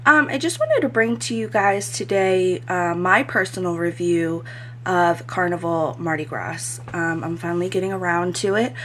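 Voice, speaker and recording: A woman talking casually as a cruise vlogger, her voice a bit hoarse from crying the day before, with lots of "um" fillers. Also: lowish voice, normal speed, a little hiss or hum. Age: adult. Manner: chatty, frequent "um" fillers. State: slightly hoarse voice after crying. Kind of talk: casual review vlog.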